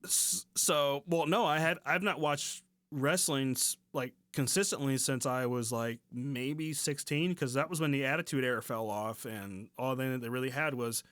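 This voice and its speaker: A man co-hosting a podcast, talking casually and slangily with halting, repeated restarts. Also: medium pitch, a bit bright, dry recording, studio quality. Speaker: podcast co-host. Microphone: close mic on a remote recording call.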